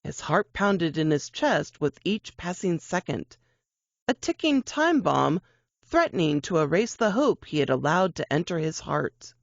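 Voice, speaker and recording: Expressive woman narrator, lively pitch swings. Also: a little slow, creaky, dry recording, highs cut off. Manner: expressive, suspenseful storytelling. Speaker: narrator reading fiction. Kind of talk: dramatic audiobook-style narration.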